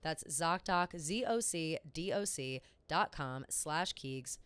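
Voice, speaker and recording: A young adult woman co-hosting a podcast, chatting casually and reading an ad with a hoarse voice and a stuffy nose, peppering her talk with "like.". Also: sits low, expressive, slow pace, fairly bright tone, no room sound. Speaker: podcast co-host. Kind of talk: casual chat and ad read.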